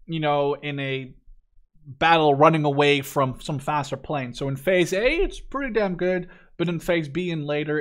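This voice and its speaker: A young man from the Netherlands with a voice a little higher than most men's. He talks through a game as a YouTuber would, casually and informally. His delivery is opinionated and blunt. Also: fairly bright tone. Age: 20s. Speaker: gaming YouTuber reviewing a game. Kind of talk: casual gameplay commentary.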